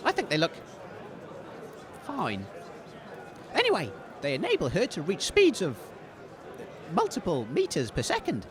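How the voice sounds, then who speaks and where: A man playing an excitable, good-natured comic sports commentator, enthusiastic but hesitant and easily flustered. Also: sits high, measured pace, long pauses, some chatter behind, heavily acted. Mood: cheerful announcing. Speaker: sports commentator and radio co-host.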